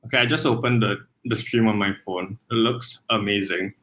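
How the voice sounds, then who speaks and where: Relaxed man in casual chat. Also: on the low side, moderate pace. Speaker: puzzle setter guest.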